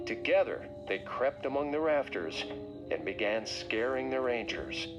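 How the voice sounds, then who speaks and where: A man narrating a scripted read-along story for children in a dramatic, expressive way. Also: mid-range voice, unhurried, low-quality recording. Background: music.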